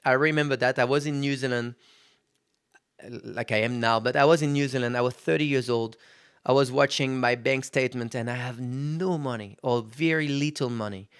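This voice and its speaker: A man's voice: mid-range voice, fairly even intonation, moderate pace, clear, resonant tone. A man in his thirties hosting a podcast, talking casually and candidly, swearing freely and calling his listeners guys. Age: 30s. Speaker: podcast host. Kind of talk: casual motivational monologue.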